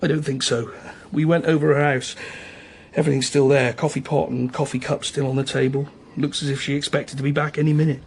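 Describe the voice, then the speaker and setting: A man's voice: medium pitch, flat delivery, fairly quick, darkish tone, a little hiss or hum, lightly acted. A man playing a candid, chatty small-town police chief in a radio drama, conversational and slightly hesitant. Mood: matter-of-fact. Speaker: actor in a radio crime drama. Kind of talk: naturalistic radio drama dialogue.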